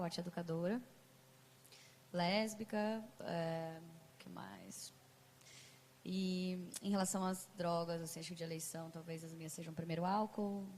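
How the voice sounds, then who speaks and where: A woman introducing herself as an artist, relaxed with thoughtful pauses. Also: on the low side, a little hiss or hum, low-quality recording. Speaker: visual artist and art educator.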